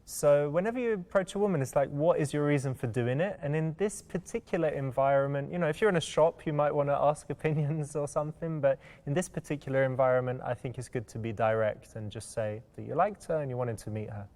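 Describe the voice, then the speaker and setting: A man giving calm dating advice as a coach. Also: a little high, quick pace, hardly any pauses. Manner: advising, calm explanation.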